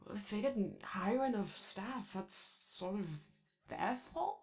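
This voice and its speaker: A woman voicing an anxious, friendly animatronic daycare robot in a halting, soft ASMR roleplay. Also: medium pitch, expressive. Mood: hesitant, friendly. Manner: halting, hesitant, rambling, eager to please.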